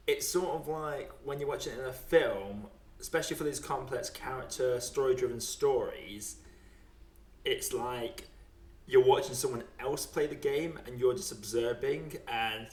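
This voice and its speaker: A man's voice: mid-range voice, moderate pace, far from the mic. A man hosting a podcast in casual chat, talking in a wry, rambling, conversational way. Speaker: podcast host. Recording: roomy, echoey home recording.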